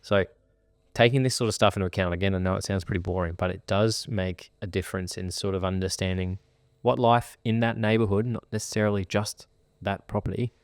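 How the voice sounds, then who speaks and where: An Australian man co-hosting a podcast, explaining property buying in a relaxed way with practical examples. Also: low-pitched, brisk, clean tone, dry recording. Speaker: podcast co-host and buyer's agent. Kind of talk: casual podcast chat.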